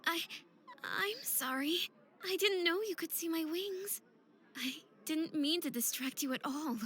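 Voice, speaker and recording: A woman voicing a gentle, polite young rival skater in a visual novel game, with a hesitant, soft-mannered delivery. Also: very high voice, measured pace. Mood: apologetic.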